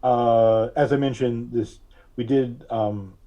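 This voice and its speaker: A man speaking as a podcast guest, recalling things casually in a halting, deliberate way with frequent long hesitations. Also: medium pitch, steady tone, dark tone, muffled recording. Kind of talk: casual interview recollection.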